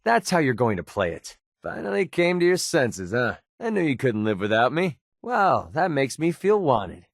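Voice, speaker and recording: A man's voice: medium pitch, sing-song, normal speed, vocal fry, hardly any pauses, no echo, studio quality. A man playing a sardonic but loyal young mercenary companion in a video game, with a casual, wry delivery.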